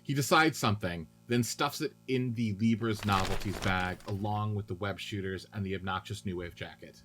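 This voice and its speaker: A man's voice: lowish voice, moderate pace, a bit bright, soft background music. A man giving scripted narration of stage directions and scene headings for a radio-play reading.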